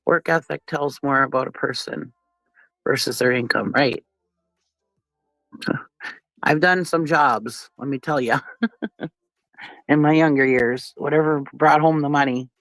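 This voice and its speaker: A woman's voice: very deep voice, unhurried, pauses often, fairly bright tone, no room sound, poor audio. A woman with a stuffy nose from a cold, hosting a casual livestream and chatting bluntly with viewers, often laughing and swearing. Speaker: livestream commentary host.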